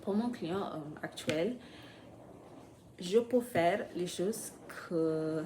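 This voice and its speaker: A woman speaking French as a second language, talking unscripted in a vlog, pausing often and searching for words. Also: fairly low pitch, dark tone, slight background noise. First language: not French. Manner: hesitant, many pauses and fillers, searching for words. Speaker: French learner vlogger.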